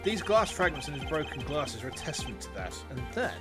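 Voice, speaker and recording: A man with a Yorkshire accent reading a composed young male prosecutor's lines, measured and reasoning aloud over game music. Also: on the high side, very animated.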